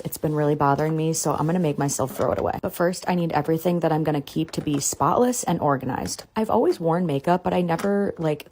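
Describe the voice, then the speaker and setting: A woman in her thirties talks in a low, relaxed voice over faint background noise. She narrates like a casual decluttering vlogger, candid, conversational and a little self-deprecating. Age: 30s.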